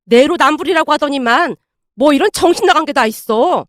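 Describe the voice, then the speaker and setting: A woman narrator acts out an older Korean-drama style mother-in-law in a story reading. She is blunt and indignant, fiercely protective, and quick to berate. The voice sits high in a very dry recording. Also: lively intonation, no echo. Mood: furious scolding.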